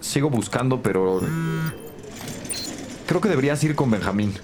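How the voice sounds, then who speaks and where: An actor playing an earnest, serious police investigator in an audio drama. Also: male voice, medium pitch, animated, moderate pace, close to an everyday voice. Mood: serious, determined. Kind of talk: Spanish-language audio drama acting. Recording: clear background noise.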